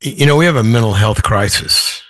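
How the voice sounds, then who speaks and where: A man with a hoarse voice, a guest in an interview, talking in a thoughtful, rambling way with many restarts. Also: medium pitch, fairly quick, fairly bright tone, no room sound. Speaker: author guest. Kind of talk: podcast interview.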